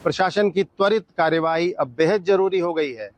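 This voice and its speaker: Fairly high-voiced male news reporter. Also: normal speed, soft background music. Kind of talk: scripted news narration.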